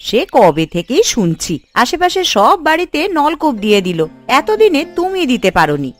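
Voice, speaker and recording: A high-voiced village housewife, acted by a woman for a cartoon tale, proud and sharp-tongued. Her delivery swings in pitch and stresses her points, with music underneath. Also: sing-song, unhurried. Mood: scolding. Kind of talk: animated moral story dialogue.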